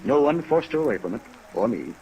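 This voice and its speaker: A man's voice: mid-range voice, brisk, close to an everyday voice, rough recording. An actor playing an earnest, dignified older American man jilted by his fiancée, speaking formally in 1950s radio drama style. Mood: insistent. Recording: 1950s radio recording with rain noise. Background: steady rain sounds.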